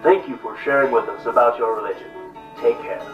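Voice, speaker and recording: A man's voice: mid-range voice, fairly quick, natural voice. A man playing a proud, hospitable villager in a puppet show, speaking emphatically. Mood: welcoming. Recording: echoey distant dull stage recording with music.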